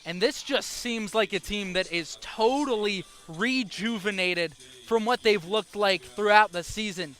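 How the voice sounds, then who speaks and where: A man's voice: sits high, measured pace, hardly any pauses, fairly bright tone, faint music behind. A young American man calling baseball play-by-play as a live broadcast commentator. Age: young adult.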